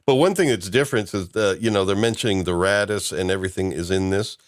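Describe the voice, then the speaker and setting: A man's voice: low voice, quick pace. A man hosting a podcast, chatting casually about a fan-made audio drama, chuckling now and then as he talks. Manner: chuckles while talking. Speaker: podcast host.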